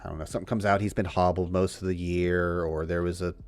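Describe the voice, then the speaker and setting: A man's voice: sits low, level tone, fast, soft background music. A man hosting a casual fantasy football livestream, thinking aloud with frequent restarts. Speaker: fantasy football show host.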